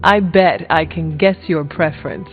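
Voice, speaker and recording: A woman plays a composed young heroine in a video game. Her voice is slightly low and she talks plainly and directly. Clear music runs behind a narrow, phone-like sound. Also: fairly even intonation, normal speed, natural voice. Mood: curious, friendly.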